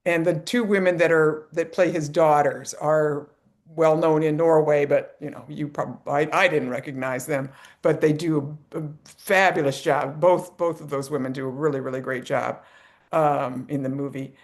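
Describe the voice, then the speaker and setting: Halting speaker in a casual film chat. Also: mid-range voice, steady tone. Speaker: film club member. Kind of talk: casual group discussion, informal film recommendation.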